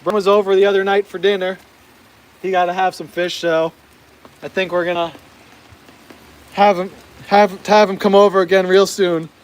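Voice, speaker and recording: A man's voice: high-pitched, flat delivery, normal speed, long pauses, rough recording. An American man chatting casually on a live stream outdoors, reading out viewer comments, laughing often and tripping over his words. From United States. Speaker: vlogger reading live chat. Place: outdoors in the rain. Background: rain falling.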